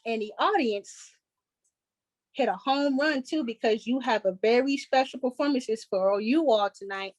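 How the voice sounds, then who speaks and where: A woman's voice: fairly high pitch, normal speed, dry recording, close to an everyday voice. A woman playing a chipper 1940s radio show host, giving scripted announcements in a showy, presentational way. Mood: showy, upbeat.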